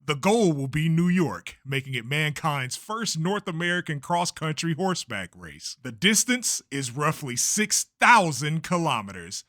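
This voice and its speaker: Male actor as older showman promoter for animated dub: bossy, theatrical, highly animated delivery, bright forceful edge. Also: a little slow, hardly any pauses, dry recording, studio quality, exaggerated voice. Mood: grand, showy. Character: blustering older male race promoter, secretly fearful showman. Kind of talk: comic-book dub voice acting.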